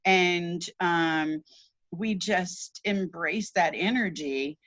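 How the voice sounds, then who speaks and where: A woman in casual spiritual conversation, speaking earnestly and haltingly with emphatic repeats as a show host. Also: on the low side, a bit bright, no echo. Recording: dull, lo-fi audio.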